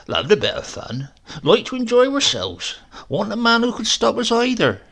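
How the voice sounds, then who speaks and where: A gruff old countryman in a storybook tale, as a male reader puts him on, with a broad drawl. He grumbles with scorn in a gravelly voice that climbs higher and louder than the narration and rises and falls widely. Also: wildly swooping pitch, normal speed, heavily acted. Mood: boastful.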